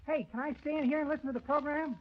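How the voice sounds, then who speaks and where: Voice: high-pitched, steady tone, racing, dull audio, close to an everyday voice. A performer voicing an eager, nosy young telegram delivery boy in a 1930s radio comedy. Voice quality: chirpy, nosy. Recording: old radio recording with studio laughter.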